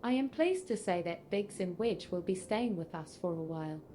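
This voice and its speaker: A woman's voice: on the low side, lively intonation, normal speed, mellow, slight background noise, lightly acted. A synthetic female voice playing a gracious, formal leader of an order in a fantasy game, speaking politely. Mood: welcoming. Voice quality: synthetic text-to-speech delivery. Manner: polite, formal, archaic wording. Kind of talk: scripted fantasy game dialogue. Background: faint music.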